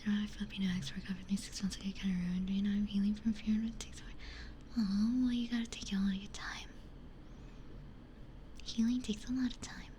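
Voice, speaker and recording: A woman's voice: medium pitch, flat delivery, slight background noise. Whispering woman doing ASMR chat. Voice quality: whispered, breathy, mostly unvoiced. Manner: hushed, unhurried conversational musing with pauses. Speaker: ASMR streamer, host. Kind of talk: ASMR, chatting with live chat. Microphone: close binaural ASMR microphone.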